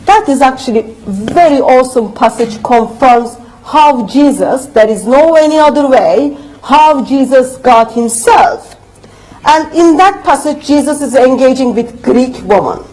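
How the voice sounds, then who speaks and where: A woman's voice: high voice, expressive, unhurried, darkish tone, rough recording. A woman speaking English as a second language, giving an earnest, emphatic debate rebuttal in a university lecture room. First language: not English.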